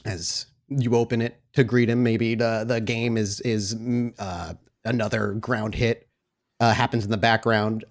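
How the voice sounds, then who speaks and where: A man's voice: on the low side, steady tone, unhurried. Descriptive male game master narrating.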